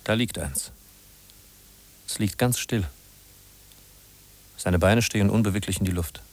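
A man's voice: lowish voice, unhurried. A man acting as the narrator of a 1950s German radio drama, an urgent, musing guide who addresses the listener with emphatic rhetorical questions. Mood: grave, intent. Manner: rhetorical, emphatic, questioning then answering himself.

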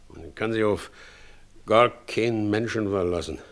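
An actor in a German radio play voicing a humble, troubled middle-aged widowed carriage driver, plain-spoken and halting. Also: male voice, fairly low pitch. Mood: troubled, resigned.

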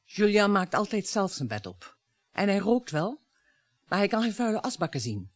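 A woman's voice: mid-range voice, big pitch swings, normal speed, dry recording. A woman acting a long-suffering but defiant middle-aged wife and mother in a Dutch radio play, pointed and challenging. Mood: defensive.

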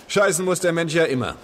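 An actor playing a hard-up, roguish film actor in a radio play, garrulous and sardonic, with a theatrical, jesting manner. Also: male voice, fairly high pitch. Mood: jovial, sardonic. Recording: crowd and outdoor street sounds behind.

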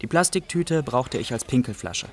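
A man's voice: medium pitch, lively intonation, normal speed, close to an everyday voice. A man playing a resourceful, wry young refugee narrating in a literary radio play. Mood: wry, factual.

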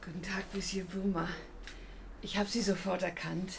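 A woman's voice: fairly low pitch, flat delivery, creaky, slight background noise. A woman playing a worried, worn-out pregnant working-class woman in a radio drama, speaking plainly and hesitantly. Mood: anxious, subdued. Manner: hesitant, halting, plain-spoken. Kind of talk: naturalistic radio drama dialogue.

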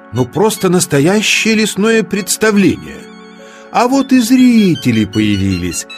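A man narrating a children's nature tale in an expressive scripted storytelling style. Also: sits high. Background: music.

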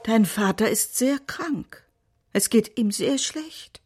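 A woman voicing an anxious, secretive mother in a dressing gown, speaking in a breathy, hushed voice. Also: a little high, expressive, takes it slowly, faint music behind, heavily acted. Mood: grave. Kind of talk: audiobook character reading.

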